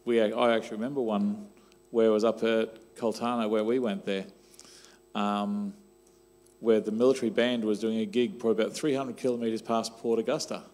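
A man's voice: fairly low pitch, darkish tone. A middle-aged Australian man, a church leader interviewing a young member on a church stage through a handheld mic, conversational with "um" and "sort of". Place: church stage, large hall.

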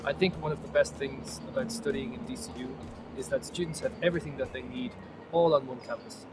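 A man from a sales and marketing office, speaking briskly and with enthusiasm in a promotional video. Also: medium pitch, steady tone, recorded from a distance, music in the background. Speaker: business development staff. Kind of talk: promotional talking-head.

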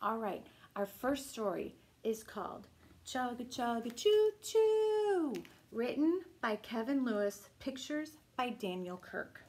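A middle-aged woman reading a picture book aloud as a children's storytime host, with a deliberate, singsong delivery. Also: on the high side, sing-song, darkish tone. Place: small room at home, indoors. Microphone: camera a few feet away.

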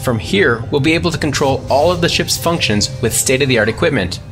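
Man playing a curt starship chief, animated delivery. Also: mid-range voice, fairly quick, music in the background, natural voice. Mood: irritated. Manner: curt, sarcastic. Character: gruff, impatient male starship engineering chief. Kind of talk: sci-fi audio drama acting.

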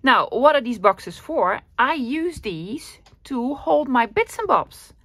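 A woman from the Netherlands with a Dutch accent, hosting a casual, chatty paper craft tutorial at her desk and often pausing with fillers. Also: high-pitched, very animated, fairly bright tone, no room sound. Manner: chatty, self-deprecating, with frequent fillers. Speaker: craft channel host.

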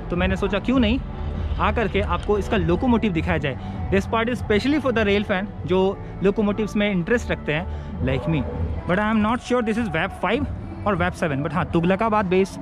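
A young man vlogging his train trip to camera, chatty and mixing Hindi with English phrases, filmed on a handheld camera at arm's length on a railway platform and in a coach. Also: high voice. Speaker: travel vlogger. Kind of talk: casual vlog narration to camera. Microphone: handheld action camera at arm's length. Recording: noisy station ambience.

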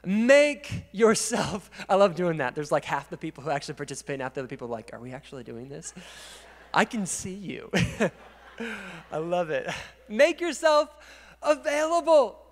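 Male pastor, 30s, higher register, energetic sermon delivery into a handheld mic, echoing church hall. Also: normal speed. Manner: energetic preaching, gesturing and moving on stage, swings from quiet to loud. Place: large dark church stage. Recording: long reverb of a large church hall.

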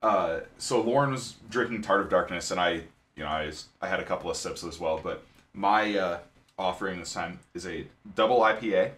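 A man co-hosting a book podcast, steering the talk in a steady, matter-of-fact way. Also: low-pitched, fairly quick. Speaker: podcast co-host. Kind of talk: casual chat, wrapping up the episode.

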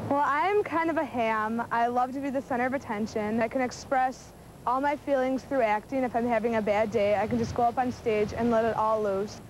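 A woman's voice: steady tone, a little fast. A sixteen-year-old young woman talking eagerly and expressively about herself to camera, as an amateur actress. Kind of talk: to-camera personal introduction. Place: outdoors in a city. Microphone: lapel mic. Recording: noisy outdoor camera recording with rumble.

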